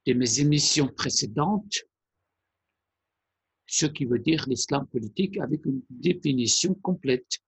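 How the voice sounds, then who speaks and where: Male presenter, measured and hesitant, husky low-energy delivery with a dry sound. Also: no echo, poor audio. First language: Persian. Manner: deliberate, halting phrasing with pauses. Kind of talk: explanatory monologue.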